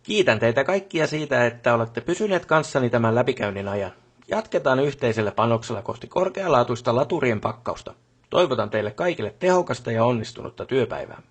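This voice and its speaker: A busy factory boss for a video game. His voice is husky and mid-pitched, and his manner is brisk and businesslike, with colloquial turns and short repeated affirmations. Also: lively intonation. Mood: formal, official. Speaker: voice actor for a game character. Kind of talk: game voice lines, phone calls and workplace speeches.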